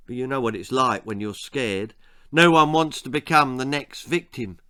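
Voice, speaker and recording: A man reading a children's story aloud as a dramatic, expressive narrator. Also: mid-range voice. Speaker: author reading his own story.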